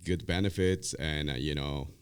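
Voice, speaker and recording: A middle-aged man from Ecuador and Spain, a native Spanish speaker, talking as an interview guest in a halting, repetitive way. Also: rumbling low, clear, resonant tone. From born in Ecuador, raised in Spain. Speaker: podcast guest, real estate team leader. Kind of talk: conversational interview.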